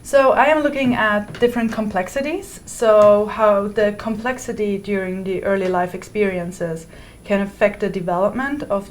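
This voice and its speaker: A woman's voice: mid-range voice, a little hiss or hum. Young woman explaining carefully. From Switzerland. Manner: careful, explanatory, with fillers and restarts. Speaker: PhD student guest. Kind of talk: interview answers. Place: indoor university room.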